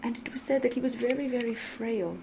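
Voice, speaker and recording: Woman playing a soft-spoken, mysterious vampire storyteller, ambient noise. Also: fairly high pitch, creaky. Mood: sly, knowing. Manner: measured, theatrical, knowing, old-fashioned formal diction. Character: mysterious flirtatious female vampire, worldly storyteller with veiled menace. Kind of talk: ASMR roleplay storytelling. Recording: steady ambient noise behind the voice.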